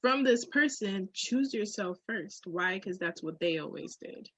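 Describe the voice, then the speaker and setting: A woman's voice: mid-range voice, lively intonation, a little slow, fairly bright tone, husky, no room sound, rough recording. A woman talking candidly on a podcast in an emphatic, slangy way, with swearing.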